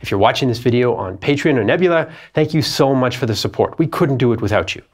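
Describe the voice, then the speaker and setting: A man's voice: medium pitch, expressive, quick pace. A man in his thirties, host of a history channel, delivering scripted documentary narration in a studio. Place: dark studio set.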